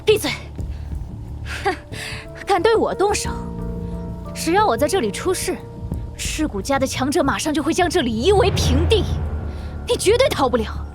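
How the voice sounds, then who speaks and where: 一名女演员在动画剧中饰演骄傲火爆的年轻世家女剑士，语气强势凌厉。. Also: female voice, high-pitched, very animated, normal speed, pauses often, music in the background. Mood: furious. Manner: imperious, sharp and hot-headed. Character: proud, fiery young heiress swordswoman. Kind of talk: animated audio drama acting.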